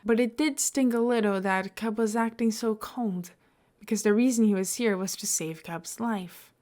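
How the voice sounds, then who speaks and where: A woman's voice: fairly high pitch, normal speed, a little mellow. A woman reading scripted fiction as an audiobook-style narrator.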